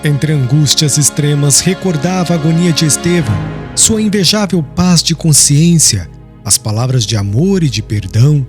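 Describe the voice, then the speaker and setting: A man with a Brazilian accent giving a solemn, measured audiobook narration of literary prose, with phrases falling at the end. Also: a little high, lively intonation. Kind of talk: scripted literary narration of a religious historical novel. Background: background music.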